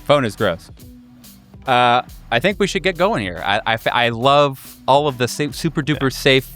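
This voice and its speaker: A man's voice: medium pitch, expressive, normal speed, a bit bright, faint music behind. A man hosting a podcast with casual comic banter and a playful, mock-grandiose manner. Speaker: host steering the show.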